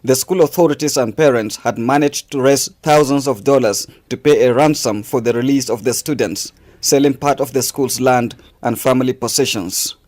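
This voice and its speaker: A male reporter at a middle pitch files a scripted news report. His reading is steady and formal, with even pacing throughout. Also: normal speed, few pauses.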